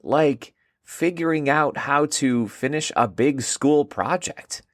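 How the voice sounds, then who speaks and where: A man's voice: fairly high pitch, slow, no echo, clean studio sound, voice pushed up. A man voicing a curious young girl explorer, easily amazed and full of questions, in a children's story. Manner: wide-eyed, questioning.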